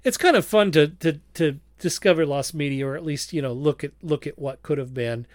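A man's voice: on the high side, brisk, no room sound. Hesitant male podcast host, dry close sound. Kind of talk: casual review discussion.

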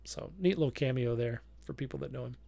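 A man's voice: mid-range voice, fast talker, vocal fry, dark tone, no echo. A man hosting a casual review discussion, feeling his way through his points in a hesitant, circling way. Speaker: podcast host.

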